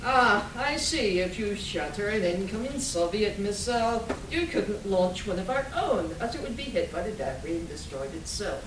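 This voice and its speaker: A woman's voice: mid-range voice, expressive, moderate pace, hardly any pauses, natural voice. A woman playing an inquisitive scientist in a comic radio play, speaking in scripted dialogue. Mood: curious. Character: inquisitive scientist plotting weather control. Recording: echoey room, distant mic, faint noise.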